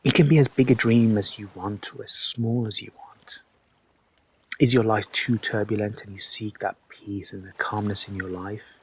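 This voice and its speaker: A man with a fairly low, husky voice guides a meditation. His delivery is soft and breathy, with gentle, unhurried instructions addressed reassuringly to the listener. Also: steady tone, poor audio.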